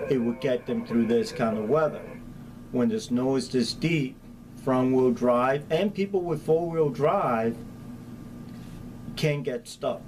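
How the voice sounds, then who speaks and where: A man's voice: mid-range voice, low-quality recording. A middle-aged man with a Spanish accent giving plain-spoken, practical answers in an informal interview as an emergency official. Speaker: county hazardous materials chief being interviewed. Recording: clear steady background ambient noise.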